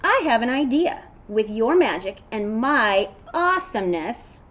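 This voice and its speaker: A woman's voice: on the high side, sing-song, unhurried, a bit bright, exaggerated voice. A woman voicing a brash, boastful goat from a children's picture book, exclaiming in a playful, swaggering way. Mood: excited, friendly. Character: brash, boastful goat who envies a unicorn.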